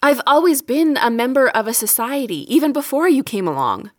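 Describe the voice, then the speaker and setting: A resentful, unyielding wife in an audio drama. Her voice sits somewhat high. She speaks in a measured, pointed way, weary and wry, with bitterness held just under the surface. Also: big pitch swings. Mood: hurt, frustrated.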